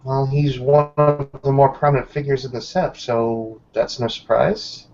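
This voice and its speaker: Man roleplaying thoughtful loner werewolf: evasive, measured, hesitant, medium pitch, lo-fi game-session recording. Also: steady tone, a little mellow, faint music behind, natural voice. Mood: guarded, dry. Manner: halting, measured, musing. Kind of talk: improvised tabletop roleplay dialogue. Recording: dull lo-fi voice recording.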